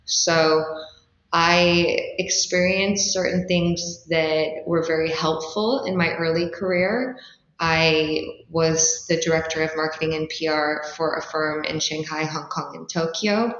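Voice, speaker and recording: Even-toned woman guest in a roomy recording. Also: low-pitched. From Netherlands. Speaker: interview guest, startup founder. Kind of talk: conversational interview answers. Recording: roomy, slightly dull sound.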